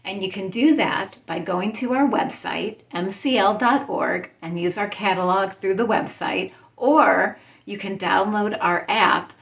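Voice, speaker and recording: A woman's voice: medium pitch, unhurried, gravelly, few pauses, fairly bright tone. A woman, a librarian, hosting and giving a scripted wrap-up that speaks directly to viewers. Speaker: librarian host.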